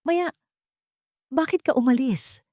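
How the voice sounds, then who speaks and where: A woman's voice: high-pitched, takes it slowly, mellow, no room sound, clean studio sound, pitched above the performer's own voice. A woman with a Filipino accent voicing an earnest young heir who pleads with his love in a narrated romantic drama. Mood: anxious pleading.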